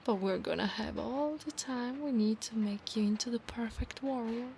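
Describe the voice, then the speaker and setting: A woman with an Italian accent voicing an affectionate, possessive centaur knight in a soft ASMR roleplay, often slipping into breathy whispers. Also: a little high, normal speed, slight background noise. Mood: chatty, teasing. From Italy.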